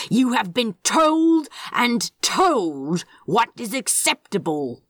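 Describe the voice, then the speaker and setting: A scornful male demon played by a woman delivers threats in an audiobook story. The words come slowly, with heavy, drawn-out emphasis and big swings in pitch. The sound is dry and close, keeping every bit of contempt exposed. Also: a bit bright, slightly rough, dry recording, heavily acted. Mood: cold fury. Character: menacing male demon scolding his houseplants. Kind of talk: fan fiction audiobook character voice.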